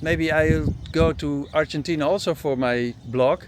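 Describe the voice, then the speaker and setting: Higher-pitched Dutch-accented man vlogging outdoors. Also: unhurried, noisy background. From Netherlands. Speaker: travel vlogger and interviewer. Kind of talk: casual street chat. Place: outdoors by parked camper vans.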